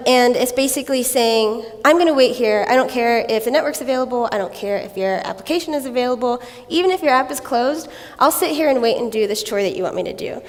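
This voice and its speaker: High, raspy-voiced woman giving a tech talk. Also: in a large hall. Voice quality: raspy from a cold. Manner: playful, self-mocking, laughs often. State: recovering from a cold. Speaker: conference speaker. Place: conference hall stage.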